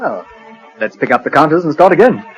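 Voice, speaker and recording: An actor playing a jaunty male card-playing ship's passenger with a husky voice in a 1940s radio drama. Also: on the high side, big pitch swings, a little fast, dull audio. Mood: breezy, eager. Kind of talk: 1940s British radio acting. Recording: old radio recording, music behind.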